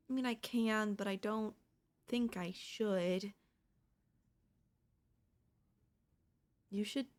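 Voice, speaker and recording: A woman voicing a cute, affectionate young ghost girl in an intimate ASMR audio roleplay, casually frank and hesitant. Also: medium pitch. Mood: tender, reluctant. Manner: casual, hesitant, matter-of-fact about grim things. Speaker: voice actor.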